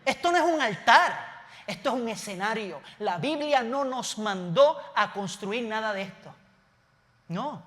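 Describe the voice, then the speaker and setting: A man's voice: high voice, fairly bright tone, long reverb tail. A man from Puerto Rico with a Puerto Rican Spanish accent, preaching a conversational sermon from a church stage, with asides and self-corrections. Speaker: pastor.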